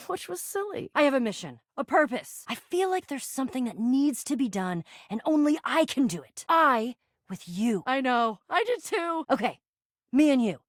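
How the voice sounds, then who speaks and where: A woman's voice: high voice, no echo, studio quality. A woman playing a plucky, eager cardboard box adventurer and noble thief in a video game, theatrical and grandiose with giddy slang. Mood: excited, fond. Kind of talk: animated game character dialogue.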